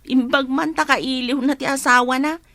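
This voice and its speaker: High-voiced village neighbour. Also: female voice, steady tone, moderate pace, fairly bright tone, no room sound. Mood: sympathetic. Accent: Ilocano. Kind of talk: radio comedy drama acting.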